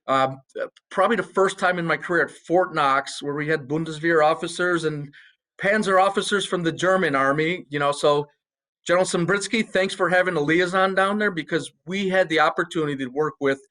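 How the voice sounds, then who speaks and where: Bright, high-voiced American military man. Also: steady tone, normal speed. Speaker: military officer, panelist. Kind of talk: informal, personal panel remarks.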